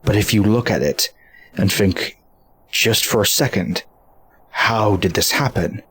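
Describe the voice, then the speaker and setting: Low, slow, earnest robot hero played by a man. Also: fairly bright tone, breathy edge. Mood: solemn. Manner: measured, grave, deliberate. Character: earnest, principled adult male robot hero. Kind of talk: scripted fan dub acting. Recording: faint background noise and ambience.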